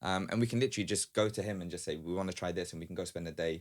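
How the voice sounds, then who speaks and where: Male podcast guest, informal and talkative, lowish pitch, rapid delivery. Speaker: guest, small business founder. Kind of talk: casual podcast conversation.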